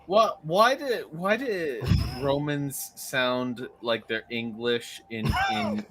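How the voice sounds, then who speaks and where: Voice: mid-range voice, slow, fairly bright tone. A speaker in a casual podcast chat, posing questions animatedly.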